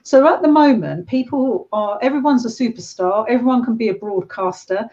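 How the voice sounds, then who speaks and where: A woman's voice: a little high, moderate pace, mellow. A woman hosting an interview, asking questions in an encouraging, upbeat way. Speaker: host. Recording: dull lo-fi audio.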